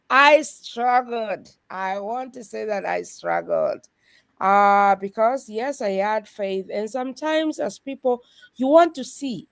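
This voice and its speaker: A woman speaks slowly and earnestly, her voice set a little high. She gives a testimony of faith with soft laughs along the way. The sound is dry and close. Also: no echo. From Kenya. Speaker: guest. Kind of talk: faith-based conversation.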